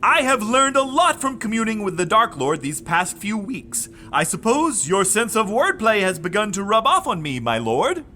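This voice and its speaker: A man's voice: high voice, very animated, moderate pace, hardly any pauses, pushed and bright, slight background noise, exaggerated voice. A man playing a pompous, devout young goth scribe in an audio drama, speaking in a grandiose, eager manner. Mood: boastful, devoted.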